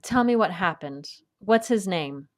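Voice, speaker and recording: A kindly adventuress, played by a woman talks at a middling pitch. She is patient and reassuring, with a dry wit, in the style of an adventure audio drama. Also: expressive, unhurried, close to an everyday voice. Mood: gentle, reassuring. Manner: gentle, reassuring, dryly witty. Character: kindly, patient, wry adventuress and gentle peacemaker.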